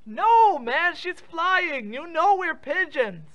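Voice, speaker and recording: A man voicing an excitable, bossy talking pigeon on an improvised prank call, exasperated and repeating himself. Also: very high voice, slow, full character voice. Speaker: prank caller.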